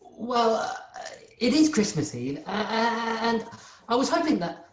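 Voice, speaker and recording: A woman's voice: mid-range voice, expressive, moderate pace, close to an everyday voice. A woman playing a meek, downtrodden male clerk in a radio drama, stammering and deferential. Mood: nervous, apologetic. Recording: roomy, echoey sound.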